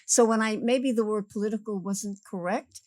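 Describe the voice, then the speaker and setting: A woman's voice: medium pitch, moderate pace, darkish tone, dry recording. A woman, a director interviewing a fellow filmmaker on a theater stage, chiming in with admiring remarks. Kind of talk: Q&A conversation on stage.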